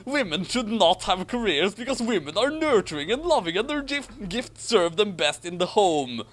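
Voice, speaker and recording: A man's voice: sits high, very animated, normal speed, pitched above the performer's own voice. A man voicing a self-righteous, earnest schoolboy wizard in a declamatory, indignant storybook reading. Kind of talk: mocking dramatic reading of fan fiction.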